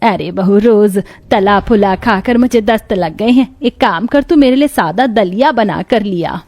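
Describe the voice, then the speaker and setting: A woman's voice: mid-range voice, unhurried, darkish tone. A woman voicing a stubborn, fretful elderly mother-in-law in a cartoon story, given to scolding.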